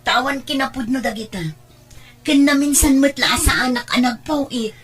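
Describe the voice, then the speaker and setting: A woman's voice: high voice, moderate pace, close to an everyday voice. Woman acting weary, long-suffering Filipino mother. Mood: pleading. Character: weary, self-sacrificing mother working abroad. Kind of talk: Ilocano radio drama acting.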